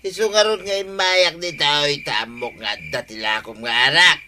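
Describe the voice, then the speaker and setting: Brash drunken husband, sharp bright voice acted by a man. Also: on the high side, moderate pace, slight background noise. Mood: drunk, cheeky. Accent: Ilocano. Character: drunken, blustering village husband who wheedles when cornered. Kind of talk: Ilocano radio comedy drama acting.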